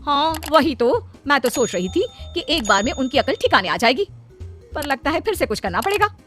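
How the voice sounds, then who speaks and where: A woman's voice: high-pitched, big pitch swings, normal speed, fairly bright tone, faint music behind. A woman voicing a greedy, conniving elder daughter-in-law in a cartoon story. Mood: scheming.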